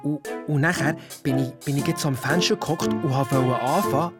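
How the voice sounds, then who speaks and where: An actor with a Swiss accent playing a young amateur detective, retelling events colloquially and excitedly in a radio play. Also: medium pitch, moderate pace, loud music behind the voice, natural voice. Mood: excited, suspicious. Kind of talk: radio play storytelling in first person.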